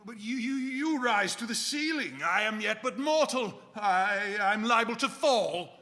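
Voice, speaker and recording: A man's voice: high voice, expressive, measured pace, pushed and bright, exaggerated voice. A man playing a miserly, nervy old man in live radio theater, theatrical and hesitant, given to muttering. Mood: fearful, bewildered. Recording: reverberant live theater hall.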